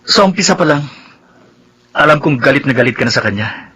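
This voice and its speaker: An earnest, accusing young brother in a Filipino radio drama. The voice is fairly high, husky and forceful, with a Filipino accent. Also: male voice, animated, brisk, full character voice, rough recording.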